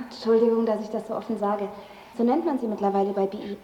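A woman's voice: mid-range voice, steady tone, a little fast, mellow, lightly acted. An actress playing an eager, confiding female hypochondriac colleague in a naturalistic radio drama, chatty and forthright. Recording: roomy, dull sound with background noise.

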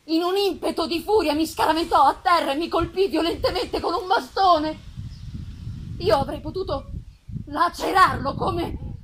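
A woman voicing an anguished, vengeful outcast male monster in an impassioned, theatrical gothic reading. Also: very high-pitched. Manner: impassioned, theatrical, drawn-out emphasis. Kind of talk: dramatic gothic novel reading. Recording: live festival recording with background noise.

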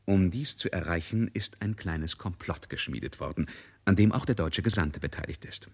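Deep voiced guy narrating a radio play, husky, measured, dry irony. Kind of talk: scripted literary radio drama narration.

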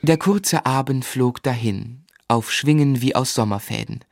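A man's voice: medium pitch, unhurried, vocal fry, no room sound, clean studio sound. An actor playing a tender, dreamy young man in love who narrates his life lyrically and reflectively in a literary radio play. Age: young adult.

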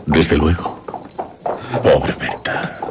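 Deep-voiced courteous gentleman. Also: level tone, very slow, very noisy, heavily acted. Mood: sympathetic. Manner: polite, formal, inquisitive. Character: courteous, sceptical gentleman father, a concerned old friend. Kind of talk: radio drama acting.

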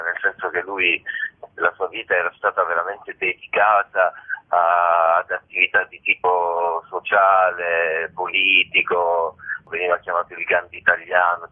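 Male interview guest, deep register, thoughtful and explanatory with mid-phrase pauses, heard over a thin phone connection. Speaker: theatre actor and writer, interview guest. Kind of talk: reflective spoken interview. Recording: muffled phone-line radio interview.

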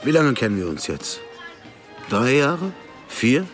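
An actor playing a crime-family patriarch in a radio drama, measured and paternal with veiled threat. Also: male voice, mid-range voice, very animated, over loud music. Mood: reproachful. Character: menacingly calm male crime-family patriarch and moneylender.

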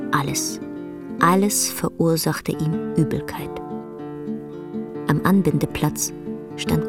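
A woman narrates a literary radio drama in a hushed, measured way. Her pace is very slow, and plucked guitar music plays clearly behind her. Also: low-pitched.

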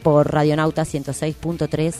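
A woman's voice: deep voice, flat delivery, moderate pace, warm tone, soft background music. An Argentine woman hosting a casual live radio show, talking in a playful, informal way.

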